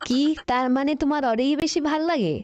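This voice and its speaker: A woman's voice: high-pitched, brisk, darkish tone, no echo, lightly acted. A woman voicing a vain, scheming female political leader in a comic cartoon parody, coquettish and nagging, on a dull noisy recording. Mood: indignant, teasing.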